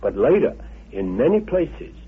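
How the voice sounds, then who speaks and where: A man giving an unscripted interview explanation in halting, deliberate phrases. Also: mid-range voice, big pitch swings, husky. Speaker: interviewee recounting his father's teachings. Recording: muffled, band-limited old radio recording.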